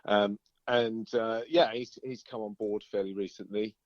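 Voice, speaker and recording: A man chatting informally as an interviewed musician, halting and circling back over his phrases. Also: lowish voice, steady tone, no echo, muffled recording. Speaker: band singer-guitarist being interviewed. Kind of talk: casual interview chat.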